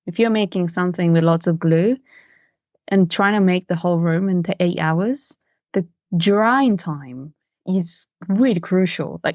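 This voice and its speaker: Low-voiced woman, muffled audio. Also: a little mellow, no echo. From Japan. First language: Japanese. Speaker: interview guest, miniature artist. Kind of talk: casual interview chat.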